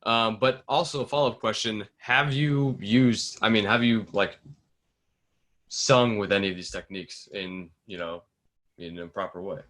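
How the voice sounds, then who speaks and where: Lower-voiced man, tentative casual interviewer. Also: normal speed, fairly bright tone.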